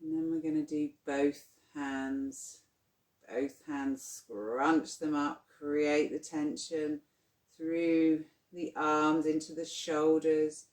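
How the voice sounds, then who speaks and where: A meditation guide speaks very slowly, leading deep relaxation with gentle, soothing instructions. The delivery is unhurried and spacious. The recording has a noticeable roomy echo around the voice. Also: level tone. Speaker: yoga nidra guide. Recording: roomy, echoing sound.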